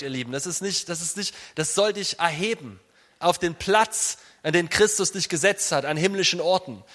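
A man preaching an informal sermon in an animated, conversational way, speaking directly to his listeners. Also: a little high. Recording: reverberant hall sound.

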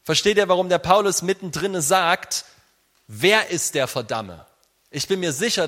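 A man preaching an informal sermon in an animated, conversational way, speaking directly to his listeners. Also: fairly high pitch. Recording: reverberant hall sound.

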